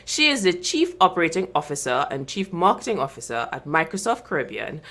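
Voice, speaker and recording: Upbeat, chatty woman host with a low, bright voice. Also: very animated, fairly quick. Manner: upbeat, chatty, laughs easily. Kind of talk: live online interview show.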